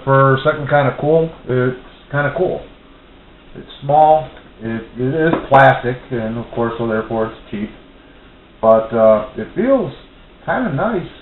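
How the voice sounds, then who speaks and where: An American man chatting casually as he reviews a product, rambling and hesitant as he thinks aloud. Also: mid-range voice. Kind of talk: casual unboxing chat. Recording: roomy, dull lo-fi amateur audio, faint hiss.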